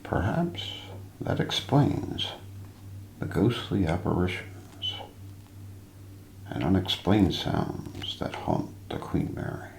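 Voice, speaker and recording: A man softly narrating mystery stories in an ASMR style, hushed and deliberate, with pauses. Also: low-pitched, very animated, vocal fry, roomy sound, a little hiss or hum, poor audio. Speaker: ASMR narrator hosting a mystery show roleplay. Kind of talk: soft ASMR scripted narration of ghost stories.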